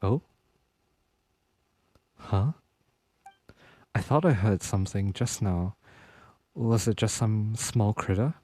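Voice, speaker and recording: A woman's voice: extremely low pitch, animated, slow pace, long pauses, dark tone, no echo. A woman streaming a video game with casual commentary, reacting briefly and reading some lines aloud. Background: game music.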